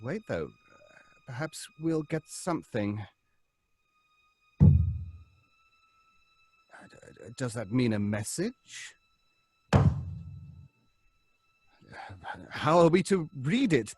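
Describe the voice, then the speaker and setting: High-pitched, slow, solemn séance leader. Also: male voice, dry recording. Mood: hushed, halting. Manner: hesitant, halting, formal. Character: earnest, anxious male séance leader, pleading and solemn. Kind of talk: old British radio horror drama acting.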